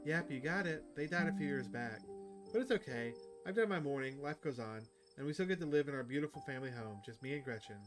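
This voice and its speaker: A man reads as an upbeat, talkative outdoorsy woman from a visual novel, a keen cryptid hunter. The voice sits fairly high for a man, with music clearly behind it. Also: animated, fast talker, lightly acted. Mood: chatty, fond. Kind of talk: visual novel dialogue read aloud.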